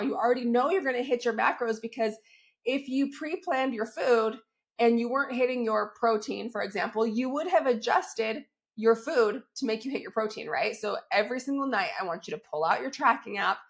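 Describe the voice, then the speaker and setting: Woman with a cold, crackly and gravelly. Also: a little high, brisk. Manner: upbeat and chatty, keeps checking in with "right?" and "okay?". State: has a cold, voice crackly and squeaky. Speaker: fitness coach and podcast host. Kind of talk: solo podcast, coaching talk.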